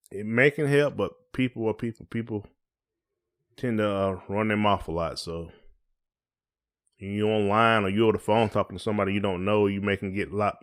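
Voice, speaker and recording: A man with an American accent hosting a podcast in casual, colloquial conversation. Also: lowish voice. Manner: colloquial, often checks in with "you know". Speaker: podcast host.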